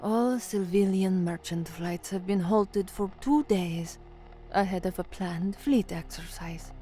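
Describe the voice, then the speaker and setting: A woman's voice: mid-range voice, dark tone, close to an everyday voice. An actress playing a young, anxious woman who works as a radio newsreader in an audio drama. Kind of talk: audio drama dialogue, narration and formal newsreading.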